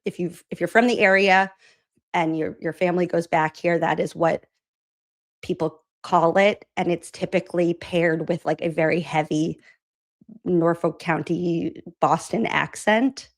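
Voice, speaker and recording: A woman's voice: lowish voice, steady tone, a little slow. A woman from Massachusetts hosting a political commentary show, chatty and sarcastic, laughing often. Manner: sarcastic, incredulous. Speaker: host.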